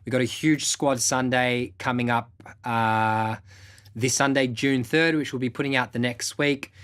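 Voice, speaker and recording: A man's voice: medium pitch, steady tone, a little slow, a bit bright, smooth. A young man who hosts his own show as a music producer, giving candid motivational advice to camera in a laid-back way, with casual swearing and lots of fillers.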